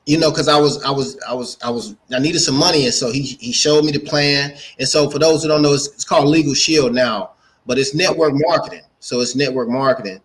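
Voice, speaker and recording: A man's voice: medium pitch, fairly quick. A man of forty-nine with an American accent, telling his story casually as an interview guest and repeating phrases for emphasis. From Gary, Indiana, United States. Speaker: guest, entrepreneur and speaker.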